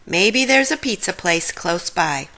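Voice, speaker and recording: A woman's voice: on the low side, expressive, measured pace, bright, forceful tone. A woman voicing a cowardly, hungry young male slacker with exclamatory, "like"-peppered speech in a cartoon storybook. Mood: grumbling.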